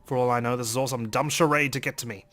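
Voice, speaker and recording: A man's voice: medium pitch, fairly even intonation, fast, fairly bright tone, soft background music. A man reading a visual novel aloud as a let's play host, in dramatic, theatrical first-person narration.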